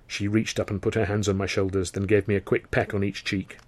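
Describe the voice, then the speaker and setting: A man's voice: on the low side, little pitch movement, a little fast. A man reading a first-person novel as a scripted audiobook narration.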